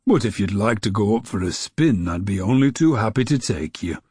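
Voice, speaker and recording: A man voicing a gentle, kindly snowman in a storybook, speaking slowly and calmly. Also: slightly rough, dry recording, clean studio sound. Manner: slow, low and calm.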